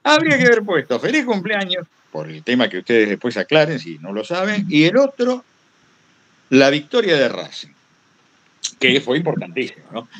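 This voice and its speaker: Animated Argentine man teaching informally. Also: a little high, moderate pace. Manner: rambling and anecdotal, repeats phrases for emphasis. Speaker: workshop guest speaker, veteran radio broadcaster.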